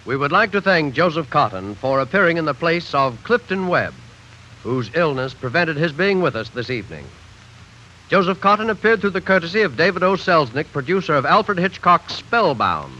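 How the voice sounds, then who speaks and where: A man making formal program announcements in crisp 1940s radio style. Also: fairly high pitch, big pitch swings, normal speed, lo-fi sound. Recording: 1940s radio broadcast over loud music.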